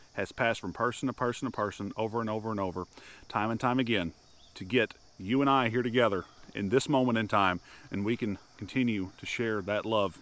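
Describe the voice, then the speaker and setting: A man's voice: mid-range voice, fairly bright tone. A man in his thirties giving a casual, earnest sermon to the camera from a grassy field at dawn, crickets chirping behind him. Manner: folksy and earnest, greets with "howdy" and "y'all". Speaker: homestead video preacher. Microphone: camera at chest height, talking straight to it.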